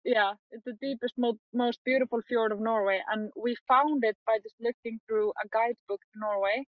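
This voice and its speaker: A young woman talks casually as an interview guest, quick and full of fillers, often breaking into laughter. Her voice sits a little high. The sound is dry and a bit muffled. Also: steady tone, no room sound. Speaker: photographer guest.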